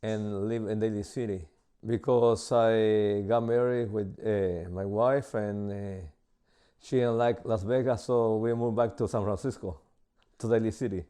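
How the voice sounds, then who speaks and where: A man in his sixties from El Salvador, with a Salvadoran Spanish accent, answering interview questions in halting English full of pauses and fillers. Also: fairly low pitch, fairly even intonation, mellow, clear, resonant tone. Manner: halting, many uh fillers and restarts.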